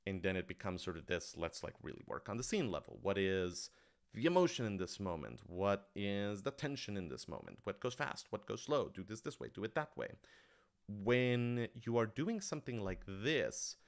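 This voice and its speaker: A man's voice: fairly low pitch, big pitch swings, moderate pace, creaky. A man talking casually and thoughtfully as a writer-director answering audience questions on a livestream, working out his explanations as he goes.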